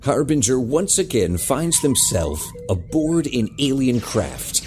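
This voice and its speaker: A man narrating a scripted audio drama in a measured, dramatic way. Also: mid-range voice, sing-song, over music.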